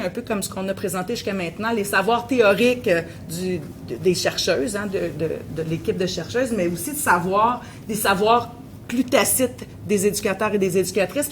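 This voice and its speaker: A woman with a Québécois French accent presents her research at a conference, pausing often with fillers and restarting her sentences. Her voice sits at a middle pitch, with a faint low rumble in the background. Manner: hesitant, many fillers and restarts. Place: conference room.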